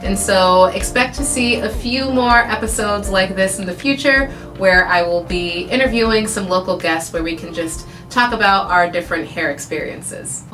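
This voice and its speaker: A woman hosting a casual hair podcast interview, chatty and friendly with quick asides, recorded in a studio. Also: mid-range voice, a bit bright, reverberant, far from the mic, soft background music, poor audio. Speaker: podcast host.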